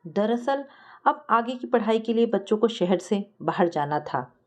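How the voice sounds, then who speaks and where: A woman narrates a family story in the first person, as a storyteller reading from a script. Her voice sits at a middle pitch for a woman. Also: expressive, unhurried.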